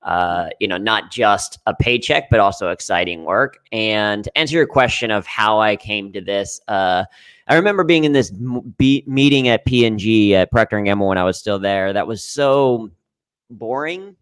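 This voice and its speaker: Smooth low-voiced man telling lively stories. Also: normal speed. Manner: animated storyteller, playful and emphatic. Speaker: panelist. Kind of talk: panel discussion over video call.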